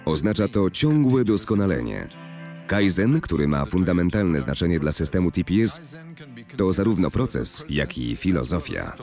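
Man, low-pitched voice, expressive intonation, formal scripted corporate narration, articulate, faint background music. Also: normal speed. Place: recording studio.

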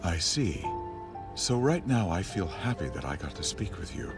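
Man voicing a very deep, formal skull-headed mage. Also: big pitch swings, creaky, heavily acted. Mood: earnest, tender. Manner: formal, measured, literal-minded. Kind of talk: anime fandub acting. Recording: loud music under the voice.

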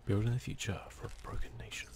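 A man hosting an ASMR video game playthrough, commenting in a hushed voice that drifts between soft speech and whispering, close to the microphone. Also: fast talker, slight background noise. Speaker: gaming video host.

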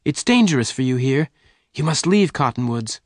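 An anxious woman rancher of the Old West, voiced by a male reader, pitched up from his own voice. She is earnest, emotional and pleading, in a dry storybook recording. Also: lively intonation, normal speed, no room sound, close to an everyday voice. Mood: anxious, urgent. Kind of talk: audiobook character dialogue.

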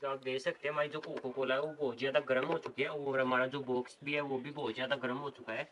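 Young guy rattling through a rooftop experiment, flat even tone, clip mic, faint hiss behind. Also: medium pitch, fast talker, muffled recording. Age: 20s. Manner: talks to viewers as "guys", explains the setup step by step. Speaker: experiment video host. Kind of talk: casual experiment vlog narration. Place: outdoors on a sunny rooftop terrace.

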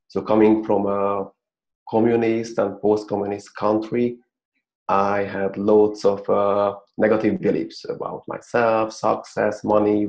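A man in his thirties from Hungary with a Hungarian accent, telling his story as a guest in an earnest, halting way with many fillers, heard over a video call in a small room. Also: fairly low pitch, darkish tone. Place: small plain-walled room. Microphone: video call webcam. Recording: dull lo-fi video call audio.

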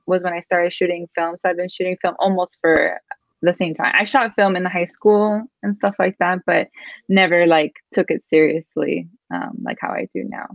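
A woman's voice: medium pitch, no echo. Chatty woman on a dull video call. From Miami, Florida. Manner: chatty, laughs easily, many "like" and "um" fillers. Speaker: guest photographer. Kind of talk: casual video-call interview. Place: home room with a chair. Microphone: webcam on a video call.

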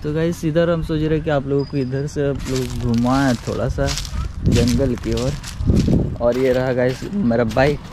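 A man's voice: mid-range voice, fairly even intonation, measured pace. A young man chatting casually to viewers while walking through dry scrub woodland by a road, filming with a phone held at arm's length and addressing his audience constantly. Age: young adult. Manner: chatty, repeats "guys" often, addresses viewers. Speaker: vlogger. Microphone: phone camera at arm's length, selfie framing. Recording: clear outdoor noise behind.

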